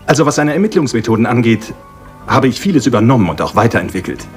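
An actor playing a genial, eager English army lieutenant, chatty and polite, in a radio play. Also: male voice, medium pitch, big pitch swings, fast, soft background music. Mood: proudly reminiscing.

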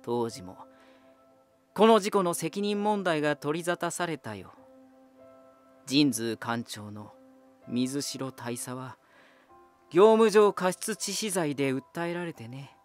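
An actor playing a proud, ominous lighthouse spirit hardened by war, deliberate, cryptic and condescending, in a dramatic voice drama. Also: male voice, on the high side, expressive, faint music behind. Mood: somber, resolute. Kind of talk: dramatic Japanese voice drama acting.

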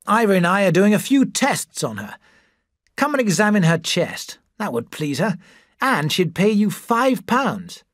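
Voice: medium pitch, big pitch swings, unhurried, no room sound, studio quality, voice pushed up. A narrator voicing a scheming society doctor in an audiobook, coaxing and conspiratorial. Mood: persuasive. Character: scheming male society doctor, smooth and persuasive. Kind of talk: audiobook character voice.

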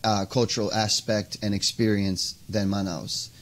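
A young man from the United States, a podcast guest talking casually and haltingly, with many "you know"s, over an earphone mic on a video call. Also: on the low side, flat delivery, fairly bright tone. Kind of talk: interview conversation. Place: indoors by a window, video call.

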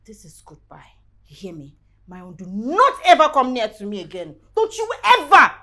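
A woman's voice: high voice, very animated, moderate pace, pushed and bright, full character voice. An actress playing a hurt, indignant girlfriend in film dialogue, emotional, emphatic and accusing. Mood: bitter, wounded. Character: hurt, indignant young girlfriend, wronged and confrontational.

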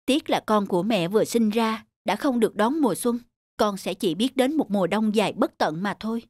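A woman voicing a gentle snowdrop flower mother in a children's cartoon, with soft, nurturing, resigned delivery. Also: medium pitch, expressive, a little fast, no echo, studio quality, lightly acted. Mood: wistful, resigned.

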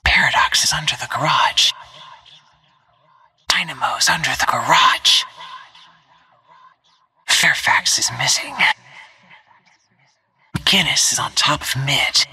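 A focused, stealthy female assassin from a shooter video game. Her voice is a husky, hissing near-whisper with a very sharp, bright edge. She gives short callouts slowly, with tight control. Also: extremely low pitch, no echo, full character voice. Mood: hushed, alert. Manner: hushed, terse, controlled.

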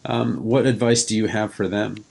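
A man's voice: fairly low pitch, fairly even intonation, normal speed, gravelly, darkish tone, slightly rough. A middle-aged man hosting a podcast, asking questions in a casual interview style.

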